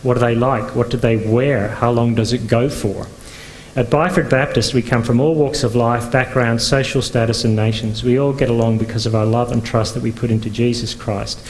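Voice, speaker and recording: A man's voice: mid-range voice, fairly even intonation, normal speed, a little mellow, low-quality recording. A man from Northern Ireland giving a conference talk as a pastor, speaking conversationally with frequent fillers and restarts. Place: conference venue.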